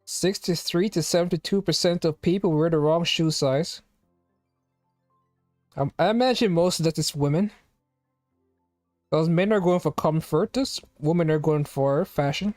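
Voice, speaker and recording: A streamer talking in a rambling, chatty way at mid pitch, throwing question after question at viewers. The sound is close and dry, with faint game music underneath. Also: normal speed, pauses often, darkish tone, dry recording. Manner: rambling, talks to chat with many questions. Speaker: live streamer. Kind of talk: casual live-stream chatter. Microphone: home streaming microphone.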